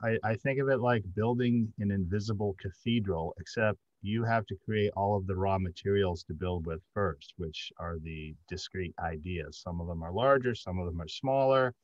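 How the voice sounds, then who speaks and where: A middle-aged man speaks low, in halting and thoughtful phrases, as a writer on a panel. The video call audio is a bit dull, with very little room echo. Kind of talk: reflective panel discussion.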